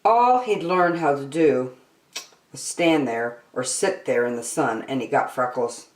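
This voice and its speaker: A woman reading a children's picture book aloud as host, deliberately phrase by phrase, in an echoey room. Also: extremely low pitch, expressive. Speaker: storytime host and reader. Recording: roomy echo.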